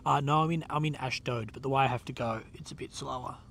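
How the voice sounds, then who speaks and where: Young man, congested, relaxed rambling live-stream chat; mid-pitched voice, noisy open-air sound. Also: fast talker, gravelly. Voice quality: stuffy nose, a bit unwell. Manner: relaxed, rambling, lots of fillers and "like". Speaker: livestreamer reporting from a conflict zone. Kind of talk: casual live-stream chat reading comments. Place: outdoors at night in a war zone.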